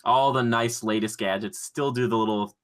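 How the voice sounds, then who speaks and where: A man co-hosting a podcast in casual chat, pitching his ideas in an excitable, slangy, rambling way. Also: normal speed. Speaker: podcast co-host.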